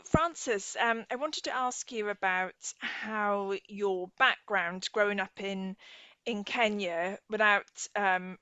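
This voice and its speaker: A woman's voice: medium pitch, sharp tone, clear, resonant tone, no echo, lo-fi sound. A woman hosting an interview in a semi-formal way, introducing and questioning a guest. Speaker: interviewer, host.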